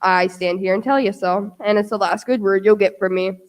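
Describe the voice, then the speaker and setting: A woman's voice: mid-range voice, steady tone, brisk, lightly acted. A woman playing a stern, upright male sea captain in a radio play.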